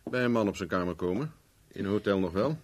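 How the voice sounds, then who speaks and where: An actor in a 1970s Dutch radio play, playing a cocky private investigator with a dry, teasing, self-mocking manner. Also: male voice, fairly low pitch, lively intonation, quick pace, a little hiss or hum, lightly acted. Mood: wry, teasing. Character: wry, cocky male private investigator for hire.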